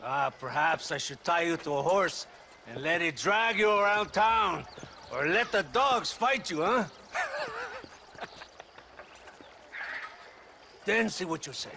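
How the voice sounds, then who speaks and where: A man's voice: a little high, expressive, unhurried, gravelly, bright, forceful tone, slight background noise, heavily acted. A man playing a menacing, pompous Mexican military tyrant in a game cutscene, theatrical and mocking, with a Mexican accent. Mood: taunting. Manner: theatrical, sneering, mixes Spanish into English. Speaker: video game character actor. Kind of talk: dramatic game cutscene acting.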